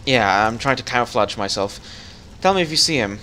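A man hosting a casual co-op gaming session, calmly guiding his partner through the level. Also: mid-range voice, a little fast, slight background noise. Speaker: channel host playing co-op.

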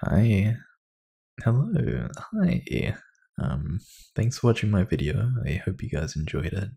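A man's voice: sits low, very animated, slow, vocal fry, warm tone, husky. A man chatting casually to his listeners as channel host, informal and a little hesitant.

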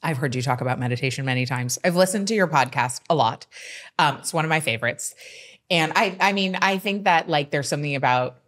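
A woman hosting a casual podcast interview, expressive and quick to laugh. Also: deep voice. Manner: expressive, laughs often, playful echoes of the guest. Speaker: podcast host. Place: decorated podcast studio set with plants. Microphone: studio mic on boom arm, close.

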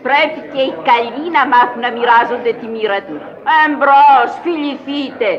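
An actress playing an eccentric, commanding old countess in a vintage radio play. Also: female voice, fairly high pitch, close to an everyday voice. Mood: urgent, coaxing. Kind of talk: vintage Greek radio theatre acting. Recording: dull vintage radio recording, music behind.

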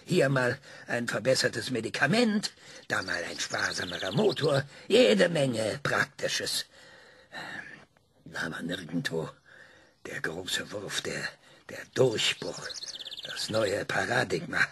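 Radio play: proud, gravelly scientist; lecturing, dramatic, drops to whispers. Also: male voice, lowish voice, very animated, slow pace, a little hiss or hum, exaggerated voice. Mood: scornful. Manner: lecturing, dramatic emphasis with sudden hushed whispers. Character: proud male scientist, sharp and scornful. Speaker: scientist being interviewed.

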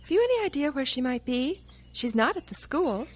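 A woman's voice: high voice, big pitch swings, brisk. An actress playing a frank, bustling missionary woman and matchmaker in an audio drama, forthright in manner.

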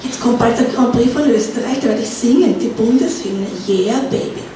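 A woman voicing a cocky, slow-witted young male singer with an Austrian accent, recorded on a stand mic in a small pub. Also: on the high side, lightly acted. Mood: boastful. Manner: dim, defensive, boastful. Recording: echoey bar room, distant mic, noisy.

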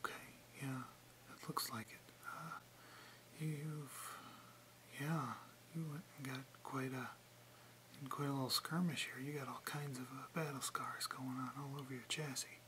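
A man whispering softly and breathily in an ASMR roleplay, hesitant and muttering to himself as he works as a mechanic. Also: mid-range voice, fairly even intonation, background noise. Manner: slow, hesitant, muttering to himself while working. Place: indoor room, close to camera.

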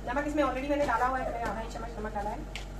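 A woman's voice: a little high, very fast, recorded from a distance, noisy background. A woman in her thirties chatting casually as she explains each cooking step, recorded on a phone a few feet away in a small home kitchen. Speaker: cooking vlogger. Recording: roomy kitchen sound, off-mic, music underneath.

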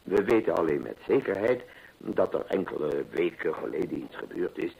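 An actor playing a gruff, agreeable detective's companion in an old radio play, husky and gravelly, giving brief replies. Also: male voice, low-pitched, big pitch swings, normal speed, a little mellow, lo-fi sound, heavily acted. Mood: puzzled, attentive.

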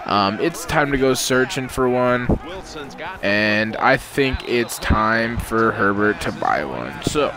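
A man's voice: lowish voice, expressive, slow pace. A man giving a casual video introduction as host of a prank call channel. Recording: noisy recording over game audio.

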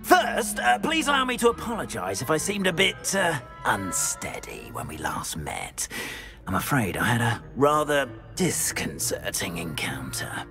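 An actor playing a nervous, fussy middle-aged castle caretaker from Korea, apologetic and theatrical, in a video game. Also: male voice, mid-range voice, wildly swooping pitch, unhurried, gravelly, heavily acted. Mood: courteous, anxious. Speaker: school caretaker. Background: game music.

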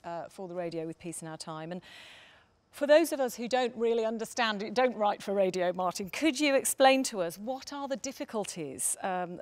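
A middle-aged woman chairs a discussion from a theatre stage. Her voice is medium-pitched as she introduces and questions the panellists.

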